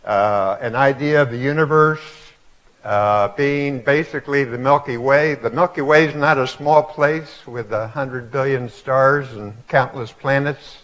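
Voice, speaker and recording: A man in his eighties giving a reflective public lecture to a live audience, telling stories in a halting way with many fillers. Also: mid-range voice, a bit bright, muffled recording. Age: 80s. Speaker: guest speaker. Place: auditorium before a live audience.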